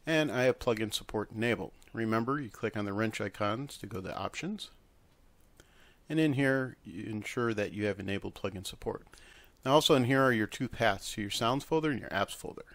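A man presenting a casual software tutorial, talking informally through each step as he works at a computer. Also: on the low side, brisk, no room sound. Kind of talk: casual screen-recorded tutorial.

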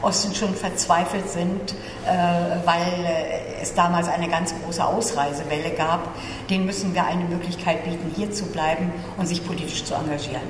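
Older woman, low-pitched, echoey hall. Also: fairly even intonation, normal speed. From East Germany. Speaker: panelist. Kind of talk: self-introduction at a panel discussion. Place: large conference room indoors. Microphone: table gooseneck microphone. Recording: echoey hall with clear background noise.

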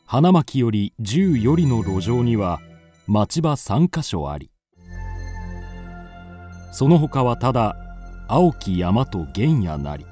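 A man tells an old folk tale in solemn, classical narration. His voice is warm and mellow, and he speaks at an unhurried pace. Soft music plays beneath him. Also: mid-range voice, very animated. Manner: slow, solemn storytelling.

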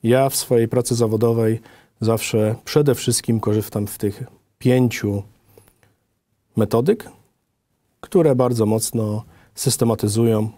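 Bright-voiced man presenting formally. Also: on the low side, dry recording. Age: middle-aged. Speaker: design office director presenting.

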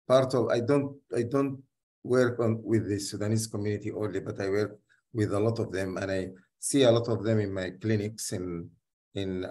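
A man's voice: on the low side, darkish tone. A man working as a doctor and community leader, whose first language is Arabic, speaking in a hesitant way with many restarts in a casual discussion.

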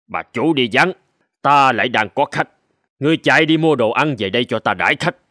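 An actor playing a haughty, bossy middle-aged master in a cartoon folk tale, speaking lines imperiously. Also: male voice, mid-range voice, expressive, brisk, bright, forceful tone, no room sound, clean studio sound. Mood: commanding. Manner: imperious, scolding servants. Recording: music behind the voice.

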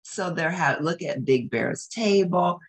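Woman reading kids book out loud, slow n very animated, slightly husky, chats about the pics. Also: lowish voice. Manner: expressive, explains the pictures. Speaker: storyteller reading a picture book aloud. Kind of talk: read-aloud storytelling with asides to young listeners.

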